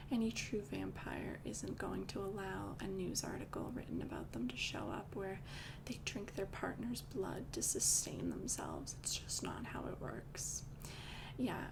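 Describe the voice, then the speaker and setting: A young woman voicing a calm, doting female vampire girlfriend in an ASMR roleplay, speaking in soft, intimate whispers close to the mic. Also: medium pitch, creaky, exaggerated voice. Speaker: ASMR creator.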